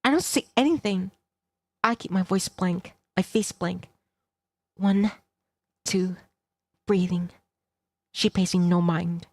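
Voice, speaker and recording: A woman streamer reading a visual novel aloud in dramatic first person, tense and expressive, in short clipped phrases. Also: fairly low pitch, takes it slowly, pauses often, dry recording. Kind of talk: dramatic first-person narration of a game script. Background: game soundtrack music.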